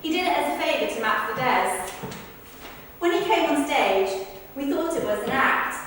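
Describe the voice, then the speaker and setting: A woman's voice: high voice, animated, moderate pace, a bit bright. A woman rehearsing verbatim witness accounts for the stage, telling them in a conversational but projected way. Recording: echoey hall, far from mic, faint noise.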